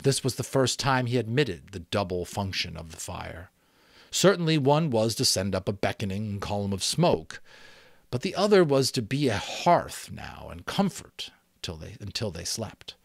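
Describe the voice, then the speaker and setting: A man reading an audiobook as a dramatic, expressive storyteller. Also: lowish voice, normal speed, vocal fry, no echo, studio quality. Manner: expressive, theatrical. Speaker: audiobook reader.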